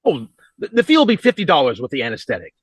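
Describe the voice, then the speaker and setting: A man's voice: a little high, huge pitch swings, fast, no echo. A man playing a bumbling, cheerfully callous doctor with a hesitant stammer in an old-time radio comedy. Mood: breezy, reassuring.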